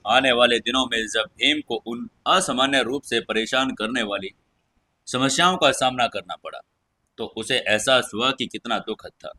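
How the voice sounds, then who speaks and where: A man's voice: mid-range voice, moderate pace, bright, forceful tone. A man hosting and narrating a scripted audio story. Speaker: storyteller and channel host.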